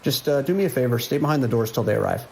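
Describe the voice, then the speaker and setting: Man playing a gravelly, no-nonsense police dispatcher. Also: mid-range voice, rapid-fire, a little hiss or hum. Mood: businesslike. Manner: dry, laconic, steady. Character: weary, dry, no-nonsense deputy dispatcher, male. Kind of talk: audio drama phone dialogue.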